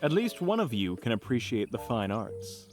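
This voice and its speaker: Cultured, faintly pompous gentleman, played by a man: mid-pitched, lively intonation, music behind. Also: moderate pace. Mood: dry, cultured. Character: refined, cultured male travelling companion. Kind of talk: audio roleplay acting.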